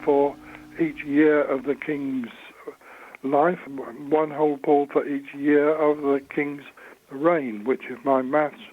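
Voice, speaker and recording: A man in his eighties with an English accent, recounting memories in an interview in a hesitant, measured, understated way. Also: a little high, phone-quality sound. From Suffolk, England. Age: 80s. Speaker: interviewee, church bell ringer.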